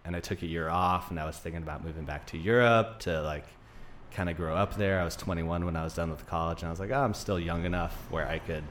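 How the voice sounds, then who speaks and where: A man in his thirties speaks in a low voice as a podcast guest. He tells his story in an informal, rambling way, with light noise behind him. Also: steady tone, quick pace, fairly bright tone. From Greece, Denmark and Arizona. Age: 30s. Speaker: podcast guest, naturopathic doctor. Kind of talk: casual conversational interview.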